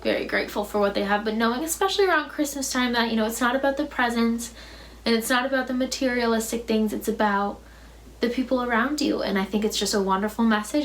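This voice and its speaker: A woman in her twenties speaking as a teacher doing a storybook read-aloud for children. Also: a little high. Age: 20s. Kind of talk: casual intro chat and storybook read-aloud.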